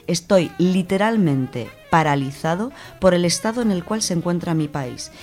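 Low-pitched Spanish woman reviewing books. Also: a little mellow. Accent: Spain. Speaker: radio show book reviewer. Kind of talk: conversational book review with prepared notes.

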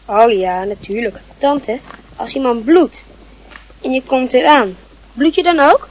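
A woman's voice: high-pitched, unhurried, slight background noise, rough recording. A woman playing a timid, curious young boy in a Dutch radio play, with a childlike manner. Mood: innocent curiosity. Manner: childlike, short dutiful answers.

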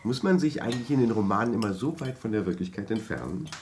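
An actor in a German radio drama playing a smooth-talking, evasive husband with a sly charm. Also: male voice, fairly low pitch, lively intonation, quick pace, a little mellow, slight background noise, close to an everyday voice. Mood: curious, charming.

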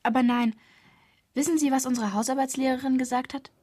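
An eager, polite thirteen-year-old girl in a radio drama. Her voice is high and breathy, recorded dry and close. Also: steady tone, a little fast, dark tone, no echo.

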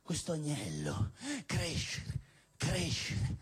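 A man's voice: a little high, wildly swooping pitch, takes it slowly, husky. A man performing theatrical spoken-word poetry on stage, declamatory, emphatic and irreverent, with insistent repetition. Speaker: performance poet. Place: live on stage at a cultural venue event.

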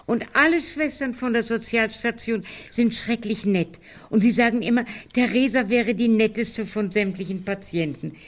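An actress playing a gentle, fussy, placating older woman in a German radio drama. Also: fairly high pitch, moderate pace, hardly any pauses, lightly acted. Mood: hopeful. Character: gentle, fussing older woman, loyal housemate and carer.

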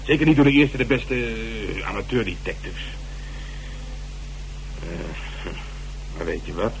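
An actor in a radio drama playing a grudging, good-humoured police inspector with hesitant, protesting delivery and Netherlands Dutch speech. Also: male voice, lowish voice, expressive, a little slow, vocal fry. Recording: noisy, humming radio broadcast recording.

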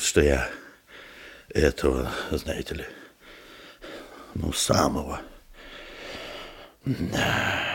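An actor in a radio play voicing a flustered, eager-to-please middle-aged head of a youth society, muttering and exclaiming in a husky voice. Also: male voice, deep voice, heavily acted. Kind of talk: theatrical Soviet radio drama acting.

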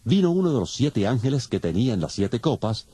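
A man's voice: medium pitch, big pitch swings, fairly quick, husky. A man narrating scripture in a solemn, dramatic audiobook style. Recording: loud music under the voice.

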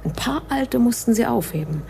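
A woman's voice: fairly high pitch, animated, normal speed, gravelly, dark tone. A woman playing a tough secret agent in her thirties in a radio play, matter-of-fact about grim business. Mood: grim, urgent. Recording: radio play with faint background noise.

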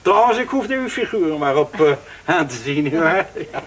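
Ceremonious, fairly high-voiced notary. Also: male voice, very animated, normal speed, fairly bright tone, slight background noise. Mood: congratulatory. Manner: formal, ceremonious. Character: formal, obliging notary, fussily congratulatory. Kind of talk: radio drama acting.

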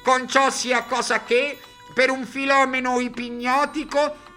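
An actor playing a loud, boastful fairground barker with a Roman accent and grandiose patter in a radio play. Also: male voice, extremely high pitch, level tone, slow pace, soft background music, pitched above the performer's own voice, exaggerated voice. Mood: brash, declaiming. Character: loud boastful male fairground barker touting freak shows. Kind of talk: theatrical radio play monologue.

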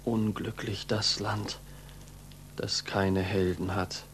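An actor playing a fervent, idealistic young disciple in a declamatory, intense 1940s German radio drama style. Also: male voice, lowish voice, flat delivery, takes it slowly, gravelly, fairly bright tone, a little hiss or hum, exaggerated voice. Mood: bitter despair.

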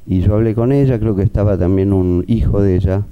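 Low-voiced man, dull recording. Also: steady tone, a little fast, smooth. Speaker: illustrator witness. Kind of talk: conversational recollection. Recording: slightly dull, lo-fi sound.

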